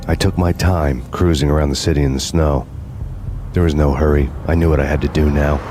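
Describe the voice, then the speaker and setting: An actor playing a brooding hardboiled cop bent on revenge, narrating in a wry, deadpan noir style. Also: male voice, extremely low pitch, fairly quick, slight background noise. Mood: grim, brooding.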